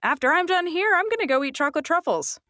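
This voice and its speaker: A woman's voice: extremely high pitch, sing-song, fast, bright, forceful tone, dry recording, exaggerated voice. Sassy, frank woman, dry close sound. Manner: casual, blunt, playful. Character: frank, sassy, contented human female attendant. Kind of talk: audio drama dialogue.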